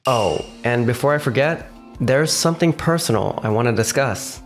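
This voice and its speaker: A man voicing a calm, businesslike young male realty manager in a fan manga dub, who also narrates, measured and firm. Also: medium pitch, lightly acted. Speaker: narrator and lead voice actor. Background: faint music.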